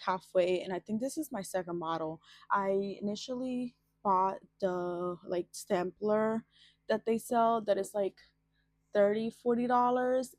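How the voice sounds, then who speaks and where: A woman's voice: medium pitch, darkish tone, no room sound. A woman in her twenties from the Dominican Republic, chatting casually and ramblingly as a vlogger getting ready. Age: 20s. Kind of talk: casual get-ready-with-me chat.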